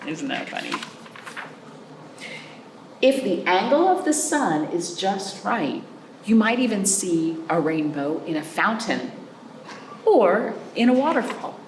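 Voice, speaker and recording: A woman hosting a children's storytime as a librarian, reading aloud with chatty asides and simple, animated explanations with little check-in questions. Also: mid-range voice, takes it slowly, long pauses, roomy sound, a little hiss or hum, poor audio. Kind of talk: picture-book read-aloud with chatty asides for young children.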